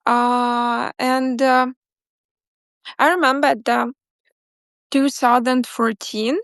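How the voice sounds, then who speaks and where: Brief: woman in her twenties from Crimea, non-native English speaker, slow and halting, dry close sound. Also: fairly high pitch, level tone, fairly bright tone, no room sound. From Crimea, Ukraine. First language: Crimean Tatar. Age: 20s. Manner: halting, many fillers and restarts, apologetic. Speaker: interview guest. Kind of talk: casual interview talk.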